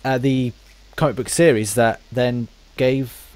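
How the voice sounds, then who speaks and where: A man's voice: mid-range voice, steady tone, darkish tone, no room sound. A man interviewing a guest in casual podcast chat, often stumbling and restarting his phrases. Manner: halting, stumbling over phrases.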